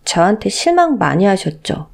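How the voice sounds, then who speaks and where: A woman's voice: mid-range voice, sing-song, moderate pace, gravelly. Woman acting a polite, timid young bride-to-be. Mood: anxious. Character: polite, nervous young woman of twenty-five, meek bride-to-be. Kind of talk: radio story drama acting.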